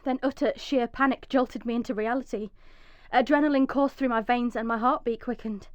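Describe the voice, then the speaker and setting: A woman's voice: fairly high pitch, steady tone, a little fast, no room sound, highs cut off, lightly acted. A woman playing an anxious, loving young wife and mother in a radio drama. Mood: frightened, tense narration. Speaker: actress and narrator in a radio drama. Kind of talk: radio drama acting, dramatic first-person narration.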